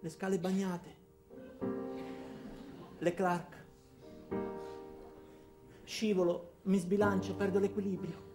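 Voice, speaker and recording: An actor playing Alfredo, an ordinary weary man confiding his story haltingly in a theatrical radio monologue. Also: sits high, flat delivery. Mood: tense. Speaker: monologue narrator. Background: music.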